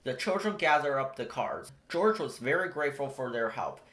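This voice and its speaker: A storybook reader giving an expressive read-aloud narration for children. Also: medium pitch, normal speed.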